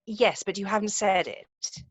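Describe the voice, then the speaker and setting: A woman's voice: mid-range voice, unhurried, dry recording, natural voice. A woman playing a self-assured, romantic young upper-class lady in an amateur play read-through, speaking precisely and emphatically over a video call. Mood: coyly insistent. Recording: muffled, dull video-call audio.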